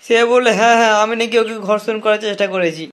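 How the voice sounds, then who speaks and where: A man plays a young cartoon everyman with a high voice, unjustly accused and heartbroken. He whines and gripes in sarcastic, salty slang, stretching his words out in self-pity. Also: a bit bright. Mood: rueful, complaining. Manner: whining, sarcastic, drawn-out lamenting. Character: wronged young lovelorn man, foul-mouthed, whiny, jailed. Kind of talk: comic cartoon dialogue in colloquial Bengali slang.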